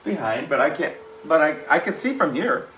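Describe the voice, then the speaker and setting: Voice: medium pitch, expressive, normal speed, a bit bright. A man chatting casually in short, offhand remarks. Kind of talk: casual chat. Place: outdoors on a front porch. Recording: echoey, distant, noisy.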